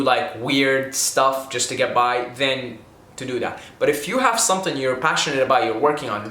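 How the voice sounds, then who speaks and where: A man's voice: mid-range voice, fairly bright tone. Earnest young man in an echoey room. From Syria. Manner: rambling and earnest, many restarts and "okay?". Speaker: vlogger giving money tips. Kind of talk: casual talk to camera.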